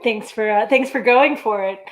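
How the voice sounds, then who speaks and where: A woman's voice: fairly high pitch, moderate pace, poor audio. A woman from New York chatting casually as a guest writer, informal and self-amused. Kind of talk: casual interview chat.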